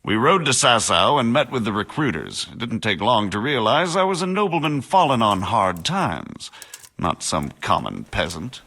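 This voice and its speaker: A man's voice: mid-range voice, very animated, normal speed, creaky, sharp tone, husky, heavily acted. An actor playing a shady fallen nobleman turned counterfeiter in a video game, explaining himself in a worldly, slightly haughty, wry way. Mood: wry, confessional. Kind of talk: dramatic video game dialogue.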